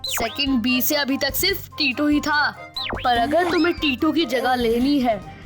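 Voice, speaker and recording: A woman's voice: high voice, unhurried, music in the background. A woman voicing a bossy, self-important schoolchild who lays down rules in a Hindi children's cartoon. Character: bossy schoolchild, gang spokesperson of backbenchers.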